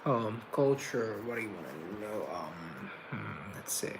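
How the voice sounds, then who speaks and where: Gravelly young man chatting on a livestream. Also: fairly low pitch, sing-song, a little slow, background noise. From Colombia. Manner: rambling, thinks aloud, reads viewer comments. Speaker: livestream host reading chat. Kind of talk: casual livestream chat. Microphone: laptop mic.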